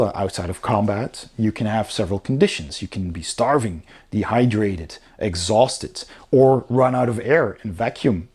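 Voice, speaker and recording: A man's voice: on the low side, a little mellow, husky. A man reviewing a game rulebook in a casual, explanatory way, talking informally as he goes through it.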